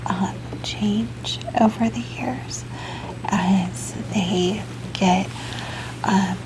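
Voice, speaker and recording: A woman in a whispered ASMR roleplay as a gentle, reassuring doctor, with a soft, breathy delivery. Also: mid-range voice, fairly even intonation, very slow, noisy background. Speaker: ASMR performer playing a doctor.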